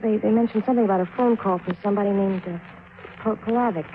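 A woman's voice: medium pitch, steady tone, fast talker, close to an everyday voice. A woman playing a desperate, defensive small-time crook's associate in a 1950s radio crime drama, urgent and pleading. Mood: anxious, pleading. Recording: thin phone-like 1950s radio recording.

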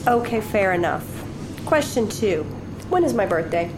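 An actress playing an unhappy, needling wife in an audio drama, pointed and challenging in a naturalistic way. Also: female voice, medium pitch, sing-song, normal speed, noisy background, lightly acted. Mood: testing, unimpressed.

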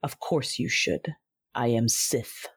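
A woman voices a proud female Sith warrior in a sci-fi audio drama, narrating in the first person. Her voice is husky and deliberate, cold and controlled. The sound is dry and close. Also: very deep voice, no room sound, full character voice.

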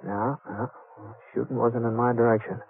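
An actor playing a calm, reasonable drifter gunslinger in a 1950s radio western, speaking in a hesitant, folksy, stammering drawl. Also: male voice, mid-range voice, moderate pace, vocal fry, background noise, close to an everyday voice. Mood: tense, hushed narration. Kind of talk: 1950s radio western acting and first-person narration. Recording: muffled, band-limited 1950s radio recording.

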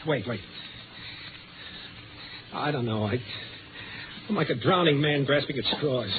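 An actor playing a desperate, panic-stricken man afraid of the dark, with halting, anguished delivery in a 1950s radio drama. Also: medium pitch, lively intonation, lightly acted. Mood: pleading, agitated. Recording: noisy, phone-like old radio recording.

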